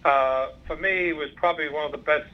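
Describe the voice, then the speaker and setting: A man's voice: a little high, fairly even intonation, brisk. A man in his sixties, born in Cuba and a native Spanish speaker, speaking as an interview guest over the phone. He talks in a rambling way, with many fillers and restarts. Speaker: former undercover FBI agent, guest. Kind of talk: interview, recounting career. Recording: muffled phone line.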